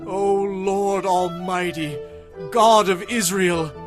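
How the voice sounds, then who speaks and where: Music plays behind a devout king with a high voice. He prays humbly and earnestly, drawing out his phrases with reverent awe in an audio Bible drama. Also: fairly even intonation, takes it slowly. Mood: reverent pleading.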